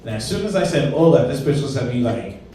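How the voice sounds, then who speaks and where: A young man performing a stand-up comedy set, telling stories with animated put-on voices. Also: mid-range voice, fast, slight background noise. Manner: animated storytelling with put-on voices for friends and coworkers. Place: comedy club stage. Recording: roomy, distant mic in a club.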